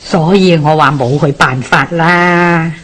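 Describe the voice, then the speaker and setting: A woman playing a cautious, doubtful elderly widow in a Hong Kong Cantonese radio drama, sighing and cautioning in a husky voice. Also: low-pitched, steady tone, slow pace, fairly bright tone, full character voice. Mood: resigned, doubtful.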